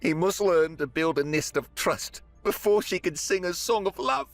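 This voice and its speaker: A man voicing a gentle, sentimental rocky alien man, his voice thick with emotion. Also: high-pitched, expressive, moderate pace, creaky, dry recording, faint music behind, voice pushed up. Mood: tearful. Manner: choked with emotion.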